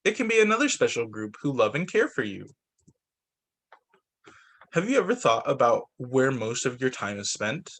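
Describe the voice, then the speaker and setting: A man reading picture books aloud to young students as a teacher, expressive, adding his own off-the-cuff remarks. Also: medium pitch, moderate pace, pauses often.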